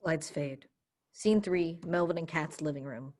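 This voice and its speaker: A woman's voice: sits low, a little slow, a little mellow. A woman reading stage directions as scripted narration for a play.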